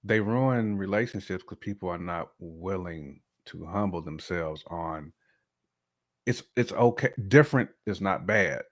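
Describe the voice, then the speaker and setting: Low-voiced American man, casual and emphatic. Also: measured pace. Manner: colloquial, emphatic, with frequent "you know" check-ins. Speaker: podcast guest or co-host discussing relationships. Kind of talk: casual conversational podcast talk.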